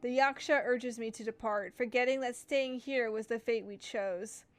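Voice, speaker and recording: A woman's voice: fairly high pitch, steady tone, normal speed, clean tone. A woman gaming streamer reading game text aloud during a playthrough, casually stumbling over words and breaking off to comment.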